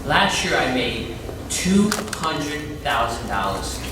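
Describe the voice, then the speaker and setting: An actor in a live stage play, playing an earnest, halting younger ex-convict, heard from afar in an echoey room. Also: male voice, mid-range voice, sing-song. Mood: proud, halting. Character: earnest, eager younger ex-convict, candy-machine businessman. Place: indoor stage, recorded from afar. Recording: echoey distant stage recording with noise.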